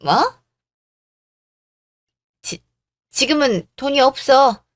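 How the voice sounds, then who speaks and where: A woman's voice: high voice, expressive, extremely slow, no room sound, clean studio sound, exaggerated voice. Woman acting brazen, indignant middle-aged mother-in-law. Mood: flustered, defiant. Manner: blustering, scolding, outraged. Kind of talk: radio drama story acting.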